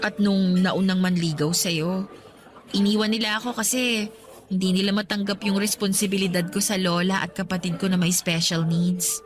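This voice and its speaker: Radio romance role: woman playing guarded, devoted young breadwinner, earnest and hesitant, mid pitch, music underneath. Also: steady tone, normal speed, lightly acted. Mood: earnest, worried. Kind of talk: radio romance drama acting in Tagalog. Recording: soft music bed underneath.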